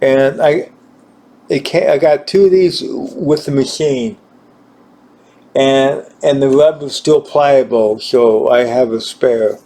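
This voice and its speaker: Slow, halting man demonstrating gear. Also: mid-range voice, warm tone. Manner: halting, searching for words, repeating himself. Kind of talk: informal hands-on equipment demonstration.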